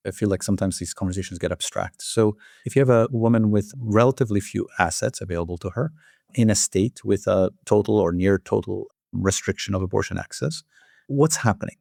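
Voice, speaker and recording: A male host with a fairly low, gravelly voice and a quick pace. He speaks formally, as an interviewer reading introductions and putting questions to a guest. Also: mellow, no echo, studio quality. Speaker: host and interviewer, journal editor.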